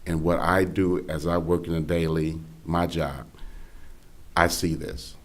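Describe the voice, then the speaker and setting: A man from Gary, Indiana, hoarse and raspy, giving an earnest, halting speech as a school board candidate at a forum. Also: extremely low pitch, fairly even intonation. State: hoarse from cheering.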